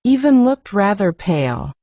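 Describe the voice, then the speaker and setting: A woman softly reading a classic story aloud as an audiobook narrator on a close microphone. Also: medium pitch, big pitch swings, moderate pace, no echo. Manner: soft, gentle reading. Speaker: audiobook reader.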